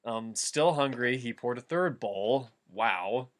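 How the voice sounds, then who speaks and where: A man with a mid-pitched voice reads fan fiction aloud as a host. He moves between storybook-like narration and casual riffing commentary in an everyday conversational way. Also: animated, slow pace.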